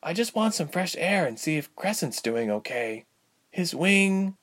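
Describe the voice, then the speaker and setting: Man voicing a high, earnest young adventurer woman. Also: very animated, a little slow, fairly bright tone, exaggerated voice. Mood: worried, weary. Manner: urgent, questioning, polite. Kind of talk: storybook character voice.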